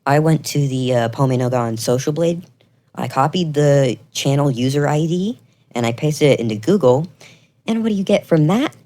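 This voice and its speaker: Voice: mid-range voice, animated, moderate pace, dark tone. A video creator delivering semi-scripted commentary in an earnest, accusatory and emphatic way.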